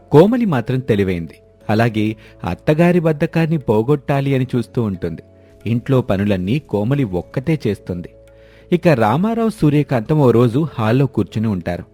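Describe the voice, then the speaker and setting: A man's voice: mid-range voice, warm tone. A man narrating a scripted moral tale in a storybook style.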